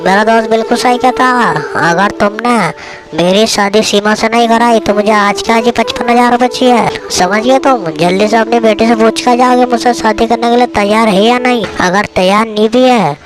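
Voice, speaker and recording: A woman's voice: a little high, steady tone, moderate pace, lightly acted. A woman voicing a bullying, demanding male village moneylender in a cartoon story. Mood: menacing, demanding. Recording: music behind the voice.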